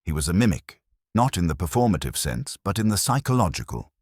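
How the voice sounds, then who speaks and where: A man voicing a commanding male detective, formal, measured and deliberate, laying out a case in a dramatised detective audiobook. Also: sits low, big pitch swings, darkish tone, slightly rough, no room sound, studio quality, heavily acted. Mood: measured, confident.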